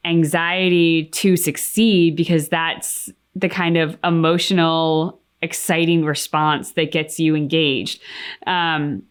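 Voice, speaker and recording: A woman with a voice a little on the low side. She talks casually and thoughtfully, as a co-host giving opinions. Her sentences are peppered with likes and you-knows as she works through each idea. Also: smooth. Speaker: co-host of game reaction channel.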